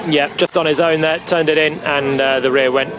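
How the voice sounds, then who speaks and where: High-voiced male motorsport analyst. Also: fairly even intonation, normal speed. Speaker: expert co-commentator. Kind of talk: analytical live race commentary. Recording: clear engine noise behind. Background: racing car engines.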